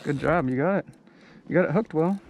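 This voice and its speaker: Excited man fishing from a kayak. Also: fairly high pitch, brisk. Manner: excited, coaching, stumbling restarts. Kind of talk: casual chat while fishing. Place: on a kayak at sea, outdoors. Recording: wind and water noise.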